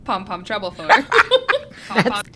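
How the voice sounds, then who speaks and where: A woman's voice: medium pitch, wildly swooping pitch, slow, sharp tone. A woman co-hosting a podcast, joking and laughing while steering the talk. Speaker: podcast co-host. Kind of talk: casual chat.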